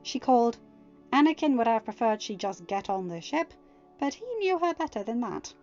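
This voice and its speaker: A woman narrating a fan story in a steady reading voice, then chatting casually to listeners. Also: fairly high pitch, normal speed, lo-fi sound, soft background music. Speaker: fanfiction audiobook reader.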